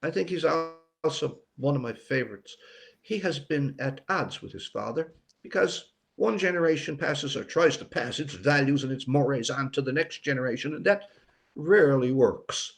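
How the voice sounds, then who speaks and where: A man's voice: a little high, expressive, normal speed, vocal fry. An older man from Newfoundland, an author chatting casually as an interview guest in a reflective, meandering way. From Newfoundland, Canada. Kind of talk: casual interview talk about writing.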